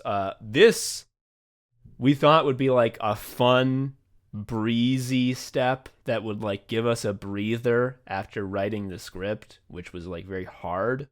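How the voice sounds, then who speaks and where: A man's voice: mid-range voice, slow pace. Guy podcast host just chatting, swears a lot, kinda self-deprecating, normal mid voice. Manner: profane, joking and self-deprecating. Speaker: podcast host and comedy writer. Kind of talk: casual chat.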